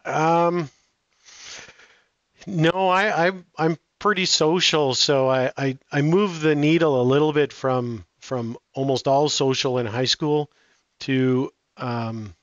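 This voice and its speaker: A middle-aged man answering interview questions in a relaxed, halting way, with many restarts and fillers. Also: a little high, dry recording, lo-fi sound. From Canada. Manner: hesitant, frequent restarts and fillers. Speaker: guest.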